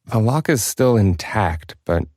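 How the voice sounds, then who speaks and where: A wry occult specialist as acted for a game drama, glib and measured but anxious underneath. The pitch moves around expressively, and the sound is dry and close. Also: expressive, a little mellow, no room sound, natural voice. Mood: wary, concerned. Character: wry, anxious occult ward expert, inherited the trade. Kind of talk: dramatic voice acting for a game visual novel.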